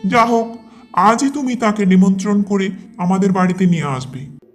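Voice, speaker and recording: Storybook crocodile husband, female performer: slow-witted and reluctant, solemnly emphatic, lowered mid-range voice over music. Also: animated, close to an everyday voice. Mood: glum, reproachful. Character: foolish, reluctant male crocodile, henpecked friend of monkey. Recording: music behind the voice.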